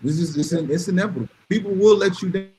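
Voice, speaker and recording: A man with a somewhat high-pitched voice speaks in a blunt, opinionated chat style. He repeats phrases for emphasis. There is some light noise behind him. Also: fast talker, mellow, low-quality recording. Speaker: podcast panelist.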